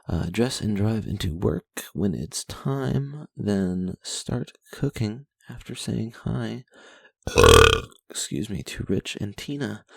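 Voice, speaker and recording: Very low, gravelly young man. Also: dry recording. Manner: profane, self-deprecating, rambling. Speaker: vlogger reading his journal. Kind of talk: late-night journal reading, casual.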